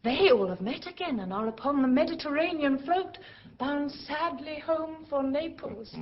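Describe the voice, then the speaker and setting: High-pitched airy spirit played by a woman, theatrical. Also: normal speed, close to an everyday voice. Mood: hushed, satisfied. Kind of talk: theatrical Shakespearean audio drama acting. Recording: muffled lo-fi audio, music behind.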